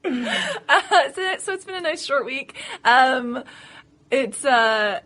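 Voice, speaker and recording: A woman's voice: high-pitched, animated, slow, sharp tone. A woman who teaches drama, co-hosting a casual podcast, chatty and giggly with many fillers. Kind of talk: casual chat.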